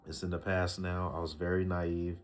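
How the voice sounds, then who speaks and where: A man in his early thirties talking to a camera, giving casual life advice in a slow, reflective way, often repeating himself with fillers and restarts. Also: low voice, little pitch movement. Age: 30s. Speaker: vlogger.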